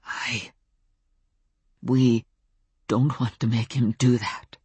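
A woman voicing an old male werewolf in an audiobook, speaking slowly and thickly in halting phrases with a Welsh accent. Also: steady tone, breathy edge, no echo, exaggerated voice. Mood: grave, halting. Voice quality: low, hushed, slow and thick. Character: old male werewolf, slow, menacing yet almost shy. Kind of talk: audiobook character dialogue.

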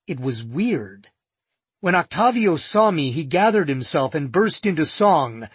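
A man narrating a first-person nonfiction audiobook in a measured, vivid storytelling manner. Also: on the high side, sing-song, breathy edge, dry recording.

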